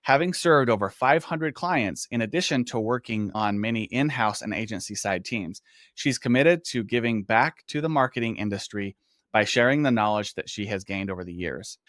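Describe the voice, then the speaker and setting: A man's voice: lowish voice, expressive, moderate pace, fairly bright tone, dry recording, studio quality. A man hosting a podcast, introducing and interviewing a guest. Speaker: podcast host.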